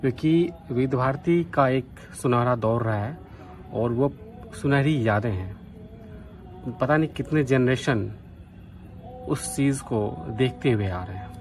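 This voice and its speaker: A man's voice: medium pitch, slow pace, long pauses, a little mellow, slight background noise. A man from Bihar, India, talking casually and earnestly as a radio hobbyist making a vlog. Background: music from a radio.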